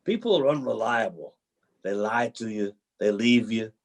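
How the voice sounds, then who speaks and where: A man's voice: lowish voice, moderate pace, lightly acted. A man playing a homeless drifter in a play reading, a cynical, bruised romantic who tells his story in a folksy, dramatic way. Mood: bitter, heartbroken. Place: remote video call reading.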